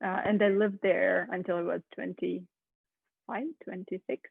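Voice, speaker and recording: Husky woman on a tinny call. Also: lowish voice, level tone, a little slow, no room sound. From Ukraine. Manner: chatty, many ums and uhs, laughs often. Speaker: guest, knitwear designer. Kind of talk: casual interview chat. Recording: tinny phone-like video call.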